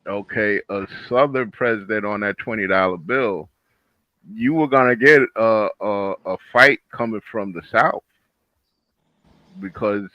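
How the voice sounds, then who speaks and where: A man's voice: fairly low pitch, measured pace, no echo, lo-fi sound. A man in a heated panel discussion, speaking emphatically and repeating his phrases for emphasis.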